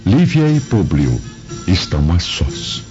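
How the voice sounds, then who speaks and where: A man's voice: sits low, sing-song, slow. A man giving dramatic scripted narration for a radio drama. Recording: muffled, music behind the voice.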